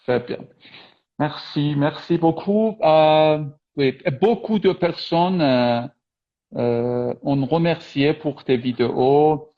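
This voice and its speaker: A man whose first language is Persian chats in an interview, halting and restarting his phrases with many fillers. His voice sits fairly high for a man. The sound is slightly dull, as if it came over a video call. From Iran. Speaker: interviewer, French teacher on YouTube. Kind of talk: casual interview chat.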